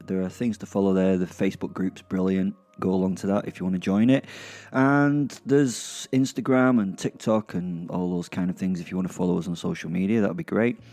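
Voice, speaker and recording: Chatty, low-voiced man rambling to listeners. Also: fairly even intonation, normal speed, warm tone. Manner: chatty, self-interrupting, dryly jokey, sweary. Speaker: podcast host and narrator. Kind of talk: casual rambling recap to listeners. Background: music under the voice.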